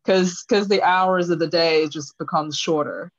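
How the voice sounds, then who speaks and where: A woman's voice: fairly low pitch, fairly even intonation, a little slow, highs cut off. A woman in her thirties from China, answering interview questions concisely with some hesitant fillers. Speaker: interview guest, tech leader.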